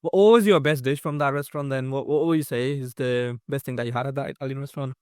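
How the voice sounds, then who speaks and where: A man's voice: medium pitch, steady tone, a little mellow, clear, resonant tone, no room sound, studio quality. A man hosting a podcast interview, asking questions and reacting enthusiastically. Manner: enthusiastic, quick questions and affirmations. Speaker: podcast host.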